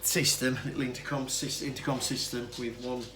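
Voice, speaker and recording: A man's voice: mid-range voice, level tone, a little fast. A man gives an informal, unscripted demonstration, explaining things in a hesitant, rambling way and often interrupting himself. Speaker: hobbyist demonstrator of vintage telephone equipment. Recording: clearly noisy amateur camera recording.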